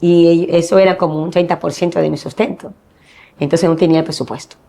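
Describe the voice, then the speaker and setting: Low gravelly woman telling her story. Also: fairly even intonation, brisk, warm tone. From Ecuador. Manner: earnest storytelling, acting out quoted dialogue. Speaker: missionary guest. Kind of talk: personal testimony in interview.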